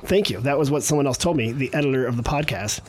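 A man hosting a podcast in casual interview chat, joking and teasing his guest with quick banter. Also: medium pitch, husky, rough recording. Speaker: podcast host.

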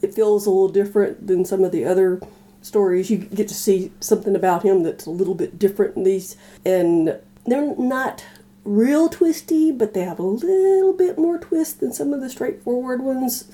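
A woman with a dark, very relaxed voice chats casually as a podcast co-host. She thinks aloud, feeling her way haltingly through her sentences. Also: mid-range voice. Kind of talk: casual chat.

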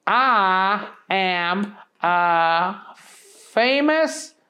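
A man voicing a naive, cheerful country bumpkin with a slow, folksy drawl. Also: high voice, lively intonation, muffled recording, voice pushed up, exaggerated voice.

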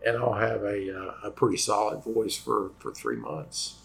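A man with a raspy voice, a lawyer hosting a casual explanatory talk, halting with fillers and restarts as he loses his voice. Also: on the low side, big pitch swings, fairly bright tone, poor audio. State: losing voice after vocal cord injections. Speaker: personal injury lawyer and host.

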